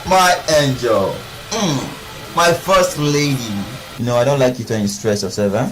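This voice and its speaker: A man's voice: fairly high pitch, expressive, brisk, lightly acted, low-quality recording. A man playing a doting, indulgent Nigerian father in a comedy skit, cooing and repeating soothing words. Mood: tender, doting. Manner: cooing, repetitive soothing, tagging phrases with "eh". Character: doting, indulgent father fussing over his crying child.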